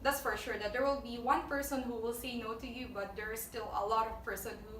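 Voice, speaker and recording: A woman's voice: a little high, steady tone, fairly quick, fairly bright tone, a little hiss or hum. A woman from Japan chatting casually and giving advice, hesitant with many fillers and restarts. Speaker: company staff guest. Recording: echoey room, mic at a distance.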